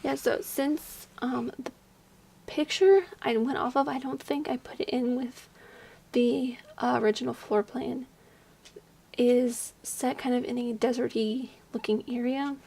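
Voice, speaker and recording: Young woman, slightly hoarse, hushed home voice-over, chatty and rambling, a bit high, gravelly and breathy. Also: fairly even intonation, unhurried. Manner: rambling, chatty, many fillers; hushed, keeping quiet at night. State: slightly hoarse, losing her voice. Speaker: video creator. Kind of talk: casual voice-over commentary on a game build. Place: home at night, at a desk.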